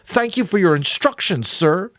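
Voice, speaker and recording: A man's voice: high voice, lively intonation, moderate pace, fairly bright tone, no room sound, studio quality, pitched above the performer's own voice. A man voicing a distraught, bitterly defiant adolescent boy in a dramatic audiobook reading. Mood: cruel sarcastic. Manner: tearful, sarcastic, spitting out questions.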